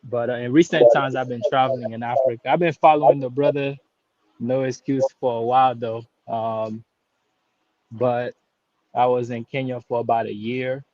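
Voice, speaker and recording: A man in his thirties, born in Haiti, speaks casually on a call, pausing and restarting often and loosening into slang as he goes. His voice sits at an ordinary pitch. The sound is dry and slightly muffled, lo-fi. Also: steady tone, darkish tone, no echo. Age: 30s. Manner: hesitant, many restarts and fillers, laid-back slang. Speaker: caller. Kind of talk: casual live-stream call-in chat.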